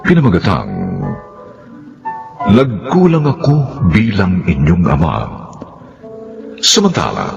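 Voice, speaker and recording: Deep, slow, dramatic male radio announcer. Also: creaky. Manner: formal, dramatic delivery. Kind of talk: formal scripted radio narration with credits. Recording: dull lo-fi radio, music behind.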